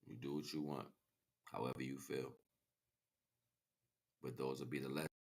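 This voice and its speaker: A man's voice: a bass voice, little pitch movement, normal speed, a little mellow. An American man livestreaming a freestyle spiritual monologue in a rhythmic, rhyming, slangy way. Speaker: livestream host.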